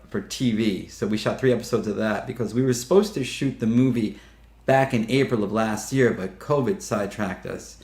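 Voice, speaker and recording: A man's voice: lowish voice, a little mellow. Chatty fifty-year-old man in roomy echo. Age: 50s. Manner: chatty, laughing storyteller who acts out quotes. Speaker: guest filmmaker. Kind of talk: casual interview chat.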